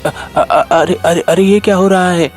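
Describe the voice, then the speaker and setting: A man's voice: high-pitched, steady tone, moderate pace, soft background music. A man acting village men in a cartoon moral tale. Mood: baffled.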